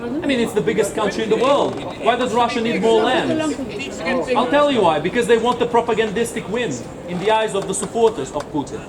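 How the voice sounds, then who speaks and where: A man's voice: very high voice, expressive, fairly quick, a loud crowd. Indignant sarcastic male streamer. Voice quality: mocking, indignant. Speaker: host reading a book on stream. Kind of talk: sarcastic commentary.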